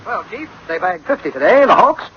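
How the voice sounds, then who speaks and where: An actor playing a talkative, defensive engineer in a 1960s radio drama, with a husky voice. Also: male voice, high-pitched, sing-song, brisk, fairly bright tone, slight background noise. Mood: eager, boastful. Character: talkative male engineer, defensive about his hunting machines. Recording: muffled old radio recording.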